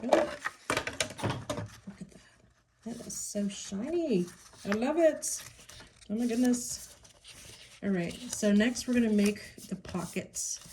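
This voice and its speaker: A woman with a Pittsburgh accent hosting a casual craft tutorial at home, talking in an unhurried, chatty way with many ums and small delighted asides. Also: a little high, background noise.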